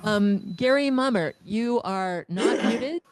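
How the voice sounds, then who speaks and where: A woman's voice: mid-range voice, animated, slow pace, noisy background. A woman facilitating a training session, laying out the agenda step by step in a meeting room, speaking into a handheld microphone. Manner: organized, walks through the agenda step by step. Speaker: workshop facilitator. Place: meeting room with people present and online.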